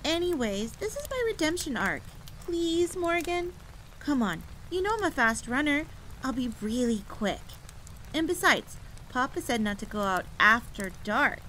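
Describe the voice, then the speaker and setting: Woman putting on a high-pitched, pleading little girl. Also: sing-song, unhurried, bright, forceful tone, slight background noise, exaggerated voice, rough recording. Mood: defensive, pleading. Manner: whiny, insistent, defensive. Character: stubborn, pleading little sister, eager young farm girl. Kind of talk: acted dialogue in audio drama. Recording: clear rain and thunder ambience behind voice. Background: rain and rolling thunder.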